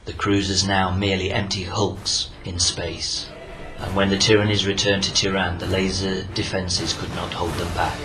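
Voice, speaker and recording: A man's voice: deep voice, flat delivery, moderate pace, few pauses, noisy background. A man narrating a scripted war story in a solemn, portentous, dramatic manner. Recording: loud music under the voice.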